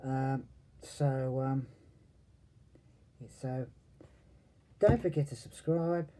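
A man in his forties casually reviewing a toy at home, hesitant and often trailing off quietly, recorded on a webcam. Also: mid-range voice, extremely slow, a little hiss or hum. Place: home room full of toys and posters.

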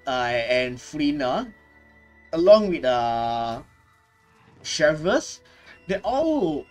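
Young man, casual gaming commentary, halting and repetitive, animated intonation, light music underneath. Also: fairly high pitch. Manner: halting, repetitive, often tagging phrases with "right". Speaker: gaming reaction video host. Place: small indoor room.

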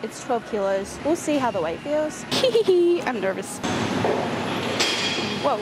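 A young Australian woman with a highish, animated voice vlogs her workout through a clip-on mic. She talks casually and candidly, poking fun at herself between bouts of exertion. Loud gym noise runs behind her. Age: 20s. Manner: self-deprecating, candid, slangy. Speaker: fitness vlogger. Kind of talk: casual, chatty self-talk to camera during a workout. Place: large concrete-walled gym, indoors. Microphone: clip-on mic on her top. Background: loud gym background noise.

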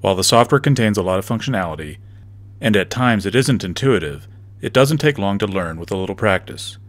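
A man giving a steady, methodical, scripted narration for a software tutorial. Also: lowish voice, brisk.

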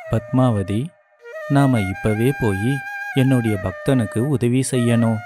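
A man doing a gentle male deity from a storybook cartoon, patient and reassuring, in a mid-range voice. Soft flute music plays behind him. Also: mid-range voice, takes it slowly, close to an everyday voice. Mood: calm. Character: calm divine male god, kindly and patient. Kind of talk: animated storybook voice acting.

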